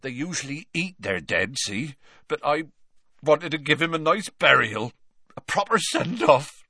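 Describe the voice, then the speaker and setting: A man voicing a huge, soft-hearted male groundskeeper, tearful and choked up, speaking in a folksy way, raised above his own voice. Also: fairly high pitch, big pitch swings, a little slow, husky, no echo, studio quality, pitched above the performer's own voice, heavily acted.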